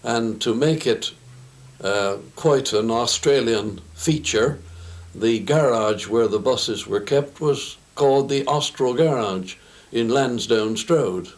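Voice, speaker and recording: Slow, hesitant man in an interview. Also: medium pitch. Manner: hesitant, pausing with frequent uhs. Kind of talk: unscripted interview recollection.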